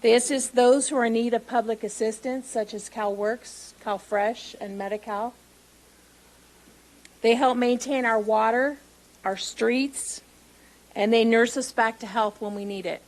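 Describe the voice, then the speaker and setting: A woman's voice: on the high side, fairly even intonation, unhurried, rough recording. A woman reading a prepared statement during public comment at a city council meeting. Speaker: union member at public comment.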